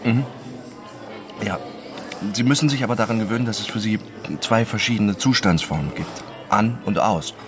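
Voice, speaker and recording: Actor as a deep-voiced, introspective man. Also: moderate pace, long pauses, music in the background, natural voice. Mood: halting, intent. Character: introspective, obsessive man narrating his own story. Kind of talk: radio drama first-person narration.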